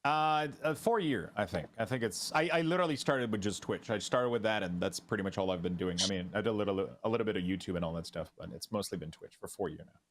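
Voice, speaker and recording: A man with a French Canadian accent, French his first language, chatting casually as a streamer guest in an interview, relaxed and halting with many restarts. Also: lowish voice.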